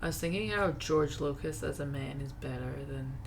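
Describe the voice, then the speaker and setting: Female co-host, informal reactions to a book, clearly noisy recording. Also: deep voice, normal speed. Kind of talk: casual chat. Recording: clear background noise.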